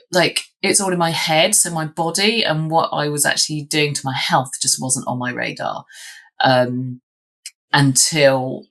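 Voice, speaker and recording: A woman's voice: extremely low pitch, gravelly, a bit bright. A woman, telling her story as an interview guest, chatty with frequent ums and restarts. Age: 50s. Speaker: guest, lawyer. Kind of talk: candid interview answers.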